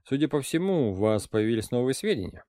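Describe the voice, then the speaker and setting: A man reading an audiobook, voicing a shrewd, calm older intelligence officer who speaks in a dry, ironic, lecturing way. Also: medium pitch, fairly quick, no room sound, close to an everyday voice. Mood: wry.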